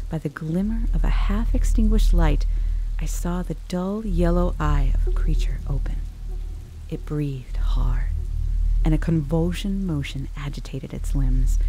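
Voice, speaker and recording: A woman narrating a gothic audiobook dramatically, in a brooding manner with hushed, near-whispered emphasis. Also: low-pitched, poor audio. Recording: steady rain-like background noise.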